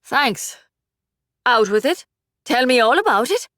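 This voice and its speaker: A woman's voice: high-pitched, sing-song, fairly bright tone, no echo, studio quality, pitched above the performer's own voice, heavily acted. Woman doing a fretful doting mother. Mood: fond. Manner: anxious, doting, quick to worry.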